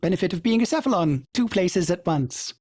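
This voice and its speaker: A man's voice: fairly quick, husky, no room sound, clean studio sound. A man voicing a devoted, fussy male ship AI who speaks formally and calls himself by name in the third person. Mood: earnest. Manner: sudden jumps in pitch and speed, fussy and excitable. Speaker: game character voice. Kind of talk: video game voice lines.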